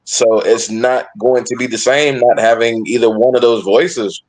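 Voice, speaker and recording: A man in casual sports discussion as a podcast panelist, emphatic but halting, often repeating and reworking his phrases. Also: mid-range voice, fairly even intonation, darkish tone, poor audio.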